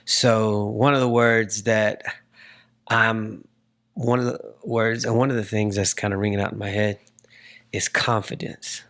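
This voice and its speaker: A man's voice: on the low side, little pitch movement, measured pace, fairly bright tone. A man in his forties hosting a casual interview, circling toward his point with repeated phrases. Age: 40s. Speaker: podcast host interviewing a guest.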